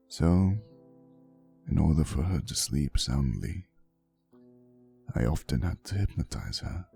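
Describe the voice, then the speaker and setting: A man acts out an ancient vampire boyfriend in an intimate ASMR roleplay, devoted and slightly possessive. His voice is extremely deep, with heavy gravelly fry. He tells his tale softly and theatrically, with swooping intonation and old-fashioned phrasing. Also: full character voice. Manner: soft, unhurried, theatrical, old-fashioned wording.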